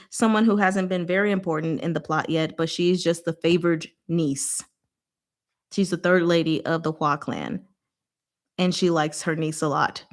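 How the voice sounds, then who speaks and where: Casual, slightly low-voiced woman hosting a livestream. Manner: animated, informal, playfully exasperated. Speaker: host of a book discussion livestream. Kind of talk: casual live chat with viewers.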